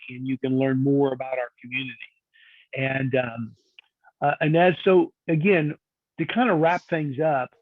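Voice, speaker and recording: An upbeat man hosts a video call, chatting with plenty of fillers as he wraps things up. His voice sits a little high. It comes through thin and phone-like with no room sound. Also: no echo. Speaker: host of a property tour call. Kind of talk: casual hosting on a video call. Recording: tinny phone-like call audio.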